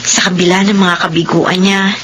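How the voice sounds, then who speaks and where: A woman's voice: on the low side, level tone, normal speed, fairly bright tone, lightly acted. A woman playing a devoted young daughter in a Filipino audio drama. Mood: fond. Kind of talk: Filipino radio-style melodrama acting. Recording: noisy ambience behind the voice.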